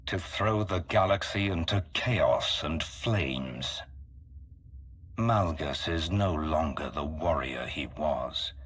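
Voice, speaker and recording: A man voicing a solemn, calculating mastermind in a video game, speaking formally and deliberately with a husky voice. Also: a bass voice, a bit bright, slight background noise, exaggerated voice. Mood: grave, scornful. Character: solemn, calculating male mastermind with grand galactic ambitions. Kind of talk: dramatic video game acting.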